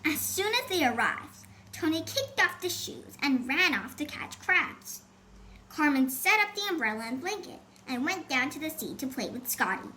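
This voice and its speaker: A woman's voice: high-pitched, big pitch swings, moderate pace, bright, forceful tone. A woman reading a scripted children's adventure story as an audiobook narrator. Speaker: audiobook author and reader. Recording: faint background noise.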